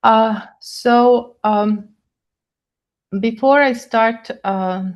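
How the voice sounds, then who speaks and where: An older woman from Croatia with a Croatian accent, giving a webinar lecture in a halting way with many pauses. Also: fairly high pitch. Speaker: guest speaker, neurochemist. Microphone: video call.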